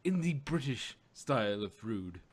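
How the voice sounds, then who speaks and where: A man co-hosting a podcast in casual chat, with somewhat halting, hesitant phrasing. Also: medium pitch, very animated, breathy edge. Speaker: podcast host. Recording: dull lo-fi audio.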